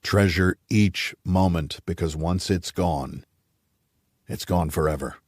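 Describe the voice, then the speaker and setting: A man's voice: deep voice, takes it slowly, darkish tone, no room sound, studio quality. A man reading a scripted thriller as an audiobook narrator.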